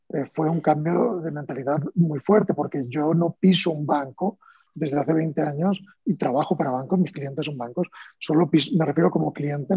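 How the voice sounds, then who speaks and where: A man's voice: on the high side, mellow, breathy edge, no room sound, dull audio. A man from Spain with a Spanish accent, speaking as a panelist in a panel discussion in a conversational way, with fillers and restarts.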